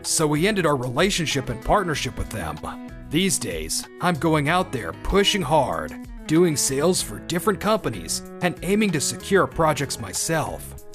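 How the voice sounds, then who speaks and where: Earnest manga-dub businessman, played by a man, highish animated voice, music underneath. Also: normal speed. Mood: earnest explaining. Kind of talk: anime-style manga dub acting and narration.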